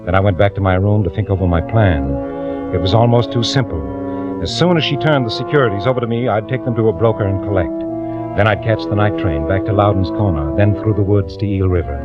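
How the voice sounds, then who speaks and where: Guy acting quiet schemer in an old radio mystery, kinda low careful voice, dull old recording. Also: lightly acted. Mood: calm, calculating. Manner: measured, confiding first-person narration. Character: quietly scheming male clerk turned impostor, narrating his plot. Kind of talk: 1940s radio acting, scripted narration. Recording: muffled old radio, music behind.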